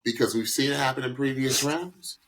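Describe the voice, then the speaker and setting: A man's voice: mid-range voice, a little fast, fairly bright tone, low-quality recording. A man co-hosting a podcast with casual, emphatic reaction commentary, repeating phrases for effect. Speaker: podcast co-host.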